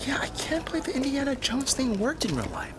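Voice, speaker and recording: An actor playing an excitable young American man in an audio drama, slangy and enthusiastic in narration and dialogue. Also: very high voice, a little fast, noisy background, heavily acted. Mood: nervously excited.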